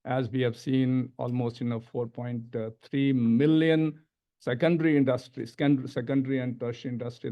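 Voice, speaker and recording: A middle-aged man with an Indian accent giving a panel presentation as an academic, halting and repeating words as he stresses each new point. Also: medium pitch, lo-fi sound. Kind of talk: panel presentation, semi-scripted lecture. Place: medium-sized indoor conference room. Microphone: gooseneck table microphone.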